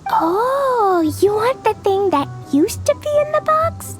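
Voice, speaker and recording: A woman's voice: very high voice, very animated, slow pace, soft background music, exaggerated voice. A woman voicing an innocent, blunt little child in a video game, with a guileless, matter-of-fact manner. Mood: innocent, helpful.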